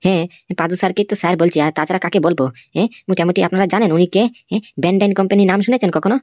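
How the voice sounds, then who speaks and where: A woman's voice: fairly low pitch, fairly even intonation, rapid-fire, a little mellow, dry recording, clean studio sound, close to an everyday voice. A woman voicing an indignant, fiercely loyal cartoon employee, insistent and confrontational, full of tag questions. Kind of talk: amateur cartoon dialogue.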